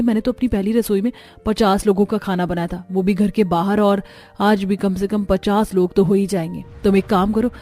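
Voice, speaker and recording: A woman's voice: mid-range voice, mellow, lightly acted. An actress plays a cartoon household's elder daughter-in-law. She speaks in a firm, practical, instructing way, with music playing underneath. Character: brisk, matter-of-fact elder sister-in-law. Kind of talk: Hindi cartoon family-drama voice acting.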